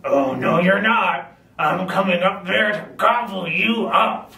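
Man playing a greedy bridge troll for a puppet show: bright, slow, roomy and distant. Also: high-pitched, exaggerated voice. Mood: gruff. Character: grumpy hungry male troll under a bridge. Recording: echoey room, mic far off.

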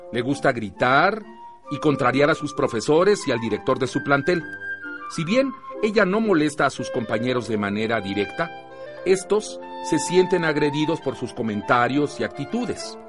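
A man acting as a patient Mexican schoolteacher narrating a radio drama. His delivery is measured and didactic, yet very expressive in pitch, with music playing behind him. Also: natural voice. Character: patient male teacher narrating his teenage students' stories. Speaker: narrator and workshop teacher.

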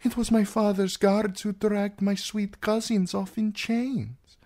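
A narrator voicing a husky, high-pitched young princess. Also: flat delivery, slow pace, a little mellow. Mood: frightened, pleading. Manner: formal, archaic courtly phrasing.

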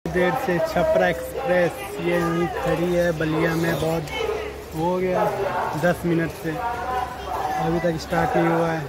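A man narrates a casual travel vlog in halting, repetitive short phrases. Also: on the high side, completely flat delivery, over loud music, a loud crowd. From Uttar Pradesh, India. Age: 20s. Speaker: travel vlogger. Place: outdoor railway platforms and inside a train. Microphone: phone at arm's length. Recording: loud railway station and train noise.

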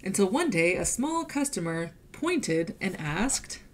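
A woman reading a picture book aloud to children in an expressive, playful storytelling manner. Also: mid-range voice, slow pace, a little hiss or hum. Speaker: adult reader leading a children's read-aloud.

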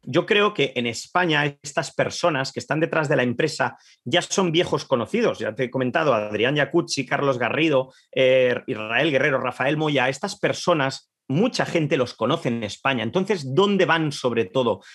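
A man, explaining deliberately in an interview. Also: medium pitch, expressive, a bit bright, husky. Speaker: interviewed expert.

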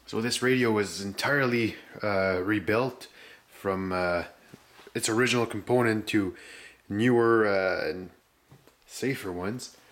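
Guy with a lowish voice showing off his restoration, casual, kinda halting, camera mic. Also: a bit bright. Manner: halting, searching for words. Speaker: hobbyist restorer presenting a rebuilt radio. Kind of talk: casual hands-on walkthrough. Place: indoors, room with concrete floor. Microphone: handheld camera's microphone.

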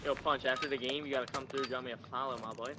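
A man's voice: mid-range voice, fairly even intonation, quick pace. A man on open game voice chat, casual and a bit boastful. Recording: loud background noise.

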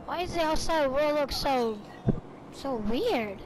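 High voice, casual improvised game roleplay, noisy gaming voice chat. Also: animated, slow.

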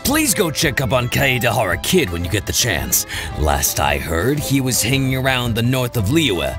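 An actor playing a burly, easygoing first mate in a video game, jovial and folksy. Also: male voice, mid-range voice, sing-song, normal speed, music in the background, lightly acted. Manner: jovial, folksy, searching for words. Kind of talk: video game cutscene dialogue.

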